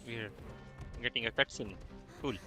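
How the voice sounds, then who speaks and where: A man chatting casually on a game livestream, halting and repeating himself as he reacts. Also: mid-range voice. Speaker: game streamer. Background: game music.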